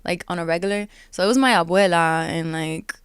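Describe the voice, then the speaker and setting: A woman in her twenties from Miami, chatting casually as an interview guest, relaxed and laughing, with many filler words. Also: on the low side, fairly quick, smooth, no room sound, studio quality. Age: 20s. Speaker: guest artist.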